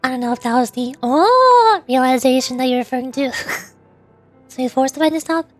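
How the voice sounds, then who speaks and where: A woman chatting casually on a game livestream in a hesitant, halting, self-deprecating way. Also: sits high, expressive, faint music behind. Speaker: livestreamer, VTuber voice actor. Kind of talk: casual livestream chat while playing a game.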